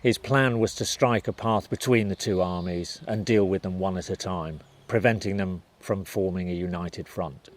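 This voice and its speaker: A man's voice: sits low, normal speed, few pauses. A man reading scripted narration for a historical documentary.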